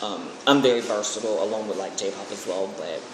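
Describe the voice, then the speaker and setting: A man's voice: lowish voice, fairly quick. A man with an American accent talking casually in a rambling, informal vlog style, often restarting his phrases. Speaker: aspiring singer and video creator. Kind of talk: casual personal vlog announcement. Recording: very echoey, reverberant room with faint noise.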